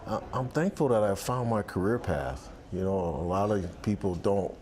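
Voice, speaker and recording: Deep-voiced man in his sixties. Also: moderate pace, a little mellow. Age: 60s. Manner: reflective, many restarts and fillers. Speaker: athletic director. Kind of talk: sit-down interview. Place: outdoor balcony by the sea.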